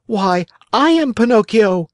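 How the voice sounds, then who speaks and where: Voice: mid-range voice, expressive, unhurried, no room sound, studio quality, pitched above the performer's own voice, close to an everyday voice. A narrator voicing an emotional, impulsive young wooden marionette boy in a storybook. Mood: eager, anxious.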